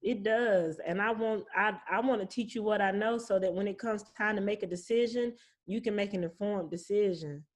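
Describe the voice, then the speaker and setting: A woman's voice: mid-range voice, normal speed. A woman speaking as a guest property manager, explaining things casually in an informal, reassuring, plain-spoken way.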